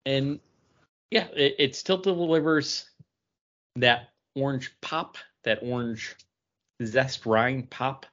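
A man's voice: medium pitch, slow, pauses often, fairly bright tone, dull audio. A man co-hosting a podcast, talking casually about craft beer. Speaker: podcast co-host.

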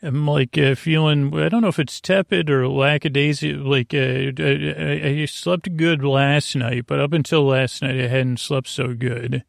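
A man with a creaky voice hosting a sleep podcast, rambling in a lulling, meandering, halting way. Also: mid-range voice, steady tone, no room sound, clean studio sound. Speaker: podcast host.

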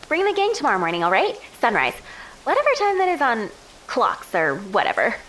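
A woman playing an excitable, carefree young woman in an audio drama, gushing in slangy, sing-song phrases. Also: fairly high pitch, fairly quick, a little hiss or hum, rough recording. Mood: excited, breezy.

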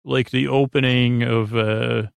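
Male bedtime podcaster, very gravelly and soothing, wandering monologue with long hesitations, recorded dry and close. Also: mid-range voice, flat delivery, dry recording. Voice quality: creaky. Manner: meandering, halting, full of pauses; lulling, soothing. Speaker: podcast host.

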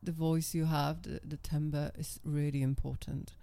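A middle-aged woman guest with a naturally low, calm and level voice, speaking in a measured, self-assured way. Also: creaky. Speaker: guest, professional dominatrix. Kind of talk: casual interview talk.

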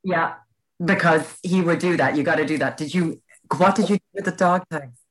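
Low-voiced woman, teasing storyteller. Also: moderate pace. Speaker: podcast co-host. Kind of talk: casual comedic chat.

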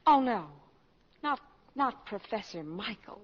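A woman's voice: on the high side, lively intonation, unhurried, breathy edge. An actress playing a nosy young college girl in 1940s radio comedy, arch and needling. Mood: teasing. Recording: dull, reverberant vintage radio recording.

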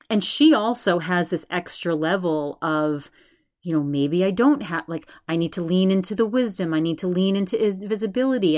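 A woman in her forties hosting a solo podcast, talking in a chatty, rambling way and speaking straight to her subscribers. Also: lowish voice. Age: 40s. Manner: chatty, rambling, animated, addresses subscribers directly. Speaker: podcast host.

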